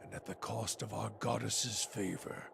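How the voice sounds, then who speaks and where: An actor playing a bitter, fanatical older fire priest in a fantasy game, gravelly and scornful, preaching in slow pronouncements. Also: male voice, on the low side, steady tone, noisy background. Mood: grim.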